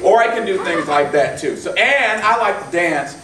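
A man comedian with a deep, booming voice introducing himself on stage in a goofy way. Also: expressive, moderate pace. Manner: deep booming voice, goofy, jumps between high and low. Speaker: actor and stand-up comedian. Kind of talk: comic self-introduction on stage. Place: hall stage with seated audience. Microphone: far camera. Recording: echoey hall, loud noise, far off.

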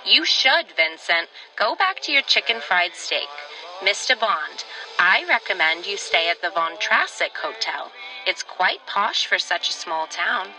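Woman playing a sharp, sassy diner waitress. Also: mid-range voice, lively intonation, a little slow, faint music behind, poor audio. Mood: scolding, brisk. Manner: pointed, flirtatious needling. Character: sassy, teasing small-town diner waitress, old flame. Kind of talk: old-time radio drama acting.

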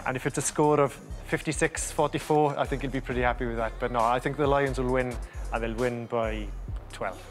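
A man's voice: medium pitch, brisk, hardly any pauses, soft background music. A man in his thirties from Wales, his Welsh accent mostly faded, chatting easily and modestly as a studio guest. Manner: relaxed, easygoing, modest. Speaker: guest, rugby performance coach. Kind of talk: studio interview, casual.

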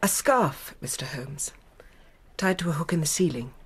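Composed grieving English mother, formal Victorian manner, husky, for radio drama. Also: deep voice, lively intonation, moderate pace, full character voice. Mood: grave, restrained. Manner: formal, restrained.

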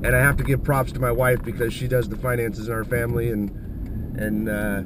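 American guy with a chest cold chatting casually from the driver's seat, really loud car noise all around him. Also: mid-range voice, flat delivery, a little fast. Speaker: heating and air business owner, vlogger. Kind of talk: casual chat to camera while driving. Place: inside a moving pickup truck cab. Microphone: camera mounted in the vehicle. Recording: loud road and engine noise. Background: car driving noise.

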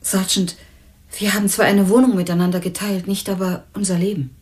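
A woman's voice: mid-range voice, level tone, fairly quick, darkish tone, natural voice. An actress playing a candid, unsentimental young working woman in a crime radio drama, talking bluntly and colloquially. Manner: blunt, colloquial, matter-of-fact. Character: candid, unsentimental young former waitress, murder victim's flatmate.

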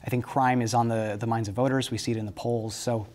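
A young man hosting a news program from a TV studio, speaking into a lapel mic. His voice sits at an ordinary mid pitch. He reads the introduction crisply, then asks questions in a relaxed, conversational way. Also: fast. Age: young adult. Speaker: TV news host.

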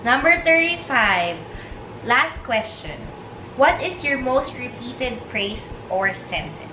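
A young woman sits on a living room couch, lightly reading out questions and teasing her husband. Her voice swings up and down a lot and she talks slowly. The sound is roomy and far from the mic, with a clear noise behind her. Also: on the high side, animated. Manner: playful, reads questions aloud, laughs often. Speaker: vlogger asking tag questions. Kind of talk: casual chat. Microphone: far camera.

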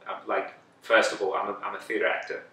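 A man's voice: lowish voice, flat delivery, brisk, pushed and bright. A man in his thirties whose first language is Greek, an actor talking thoughtfully in an interview while seated indoors, often restarting his sentences. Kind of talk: casual interview talk. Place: indoor room, seated on a sofa. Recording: roomy, slightly distant sound.

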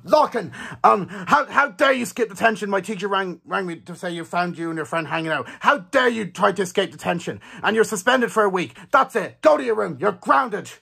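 Guy playing a stern annoyed parent in an amateur skit, raised raspy voice, telling a kid off. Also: high voice, lively intonation, fairly quick, sharp tone, pitched above the performer's own voice, exaggerated voice. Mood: cross, scolding. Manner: stern, scolding. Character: cross parent grounding a truant schoolchild.

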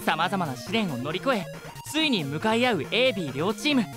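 A polite youngster voiced by an actor reads a preview announcement. The delivery is bright and bouncy in pitch, over clear music. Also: sing-song, moderate pace, natural voice. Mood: eager, polite. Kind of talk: preview narration in a voice drama.

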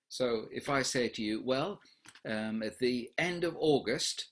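A man in his fifties leading an online question session from a room at home, speaking slowly and reflectively over a video call. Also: medium pitch. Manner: slow, reflective, husky. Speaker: workshop leader. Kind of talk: online Q&A talk. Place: home room with wooden doors.

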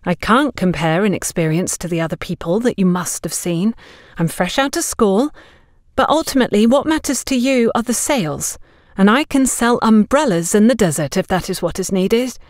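A woman's voice: mid-range voice, lively intonation, normal speed, no room sound, studio quality, close to an everyday voice. A woman voicing an earnest, polite young female job seeker in an audiobook, respectful and eager. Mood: hopeful, pleading.

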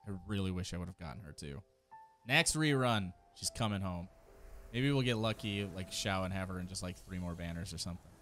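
Animated American man, streaming over music. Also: deep voice, fairly quick. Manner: enthusiastic, slangy. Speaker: streamer reacting to game character trailers. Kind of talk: casual reaction commentary. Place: small indoor streaming room. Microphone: close desk microphone. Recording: game music behind the voice. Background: music from game trailers.

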